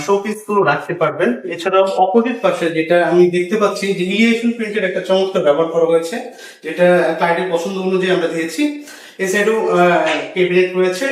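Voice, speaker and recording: A man with a Bangladeshi accent presents an interior design walkthrough in a halting way, with drawn-out hesitations. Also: high voice. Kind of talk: property walkthrough narration. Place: indoors, bare apartment rooms. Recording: echoey rooms, distant mic, background music.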